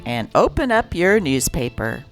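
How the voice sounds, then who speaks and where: A woman's voice: low voice, sing-song, a little slow, soft background music. A woman reading a script aloud as host and narrator, with a theatrical delivery.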